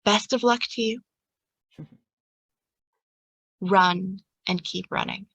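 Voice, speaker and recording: An earnest ringmaster of a strange carnival as a woman acts it out in a tabletop game. The voice is crisp-edged and forceful, mid-pitched for a woman, with halting, ominous phrasing and a dry sound. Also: steady tone, dry recording, poor audio. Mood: solemn.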